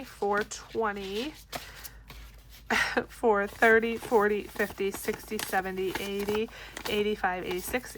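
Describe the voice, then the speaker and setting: A woman's voice: mid-range voice, pushed and bright, slight background noise, low-quality recording. A woman in her thirties with a stuffy nose, chatting casually to camera and counting cash aloud. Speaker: budgeting vlogger.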